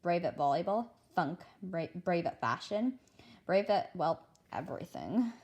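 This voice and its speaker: A woman narrating a children's novel aloud in an expressive, dramatic style, now and then stumbling over words. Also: fairly low pitch, a little slow. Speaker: read-aloud host.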